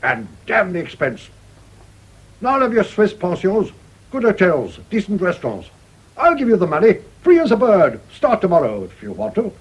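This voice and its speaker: Radio drama old general: husky, gruff and bossy, widely swooping delivery, blunt fatherly orders. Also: high-pitched, wildly swooping pitch, normal speed, lo-fi sound, full character voice. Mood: bluff urging. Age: older. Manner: blunt, commanding, clipped phrases.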